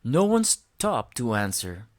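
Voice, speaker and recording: A woman reading a children's storybook aloud with expressive narration. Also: rumbling low, slow pace.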